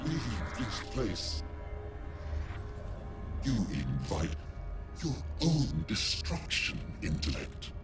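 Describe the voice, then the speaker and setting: An actor playing a menacing, grandiose machine hive-mind leader in a video game, declaiming with contempt. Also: male voice, on the low side, huge pitch swings, heavily acted. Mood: menacing, solemn. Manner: slow, ominous, grandly declamatory. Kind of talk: video game cutscene acting. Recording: loud music over the voice.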